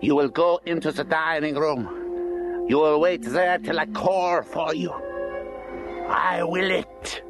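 An actor playing a villainous foreign hypnotist in a radio melodrama, domineering and theatrical. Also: male voice, on the high side, over music. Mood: hypnotic, commanding. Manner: theatrical, commanding, drawn-out delivery. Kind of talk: melodramatic radio drama acting.

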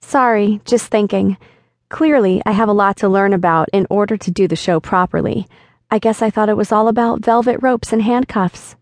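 A woman's voice: medium pitch, normal speed, darkish tone, no echo, natural voice. A woman narrator voicing a curious, flustered young woman in an audiobook, with an eager, slightly nervous delivery. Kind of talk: audiobook dialogue reading.

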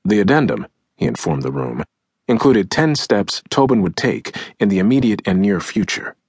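Husky, low male audiobook narrator, very expressive. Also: moderate pace, dry recording, studio quality. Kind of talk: scripted nonfiction audiobook narration, acting out quotes. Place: close, dry recording studio.

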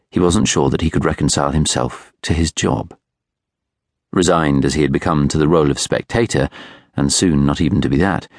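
A British man reading literary fiction as an audiobook narrator, in a measured, reflective way. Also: a bass voice, gravelly, darkish tone, dry recording, studio quality. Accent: British English. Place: studio.

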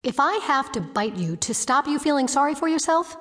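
Bossy, high-pitched, swooping mare. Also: female voice, sing-song, brisk, a bit bright. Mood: scolding. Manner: scolding, blunt. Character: bossy, no-nonsense female pony. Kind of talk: audiobook character acting.

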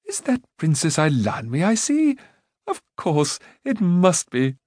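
A man's voice: a little high, wildly swooping pitch, measured pace, a little mellow, no echo, studio quality, pitched above the performer's own voice, full character voice. A man voicing a cheerful, scatterbrained young prince who chatters in a breezy way. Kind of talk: audiobook character voice.

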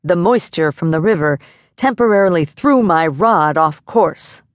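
A woman's voice: fairly low pitch, animated, unhurried, dry recording, studio quality. Woman putting on a pompous, flustered male dowser. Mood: flustered, insistent. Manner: wordy, formal diction.

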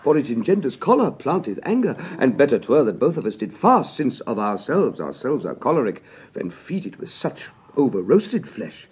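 Blustering husband, wildly swinging delivery. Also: male voice, mid-range voice, sing-song, normal speed, darkish tone, slightly rough. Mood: mock-sweet coaxing. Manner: theatrical, swings from roaring to mock-sweet coaxing. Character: blustering, tyrannical husband, loud master taming his bride. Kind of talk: classic radio Shakespeare comedy acting.

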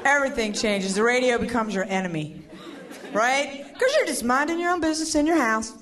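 A woman in her forties doing a stand-up comedy routine on stage, animated, shouting her punchlines and slipping into voices. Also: a little high, brisk. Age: 40s. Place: comedy stage before a live audience. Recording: live show with loud crowd and laughter.

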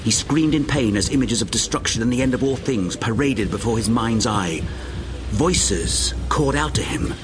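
A man's voice: mid-range voice, lively intonation, normal speed, noisy background. A man reading dramatic fiction as an audiobook narrator, with a grave, intense and theatrical delivery. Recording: music behind the voice. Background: music.

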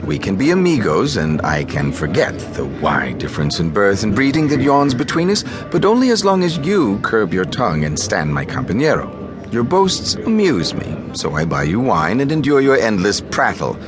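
A foppish young caballero, bored with everything and sure of his superior birth. His fairly low voice glides through wide inflections with fastidious condescension, in a radio-play style with music behind. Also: big pitch swings. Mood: cool warning. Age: 20s. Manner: condescending, fastidious, world-weary. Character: languid, haughty young aristocratic caballero, foppish and easily bored. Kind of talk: audio drama acting.

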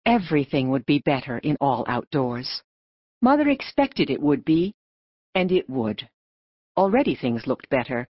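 Low-voiced, expressive woman narrator. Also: a little slow, dry recording, highs cut off. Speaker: audiobook narrator. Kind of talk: scripted story narration.

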